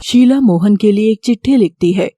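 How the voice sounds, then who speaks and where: Female storyteller for scripted narration; mellow, mid-pitched voice with light background music. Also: normal speed.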